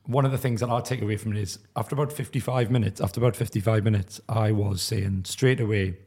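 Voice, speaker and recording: A man with a husky voice, a podcast panelist going through the match in a measured, analytical way. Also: lowish voice, fairly even intonation, a little mellow. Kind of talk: football podcast discussion.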